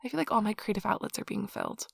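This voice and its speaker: A woman playing a nerdy, affectionate girlfriend in an ASMR roleplay, rambling softly and casually in a gushing, breathy voice. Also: mid-range voice, rapid-fire, no room sound. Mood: gushing, excited. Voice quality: soft, breathy, slightly husky. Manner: gushing, casual, giggly, chatty. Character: nerdy affectionate girlfriend, excitedly rambling about tabletop gaming. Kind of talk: soft-spoken ASMR roleplay, intimate rambling. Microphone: close microphone, dry.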